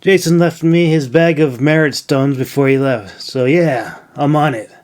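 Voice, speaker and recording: A man's voice: fairly high pitch, a little slow, darkish tone, close to an everyday voice. A man voicing a tired, modest young male trainee in a fantasy audiobook, guarded and a little irritable. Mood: matter-of-fact.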